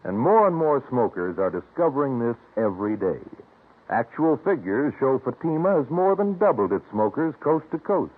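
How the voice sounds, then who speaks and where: A man's voice: medium pitch, big pitch swings, moderate pace, slightly rough. An American man announcing a 1950s radio commercial with an emphatic, polished sales delivery. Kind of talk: 1950s radio advertising pitch. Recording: narrow-band old radio broadcast.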